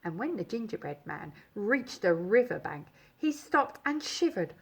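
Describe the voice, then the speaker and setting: Lady reading a kids storybook, slow and dramatic, voice swoops all over. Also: medium pitch, big pitch swings, husky. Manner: dramatic, emphatic. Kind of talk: expressive children's storybook reading.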